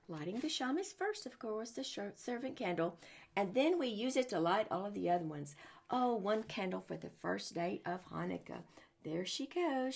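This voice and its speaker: A woman's voice: medium pitch, vocal fry, husky. A woman reading a picture book aloud to children, adding exclamations and chatty questions to her young listeners as she goes. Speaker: storyteller and religious teacher for children.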